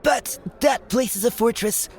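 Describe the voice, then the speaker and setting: A man's voice: very high voice, a little slow, a little mellow, soft background music, pitched above the performer's own voice. A man voicing a cautious male adventurer who argues urgently, in an audiobook. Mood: worried. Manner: argumentative, urgent.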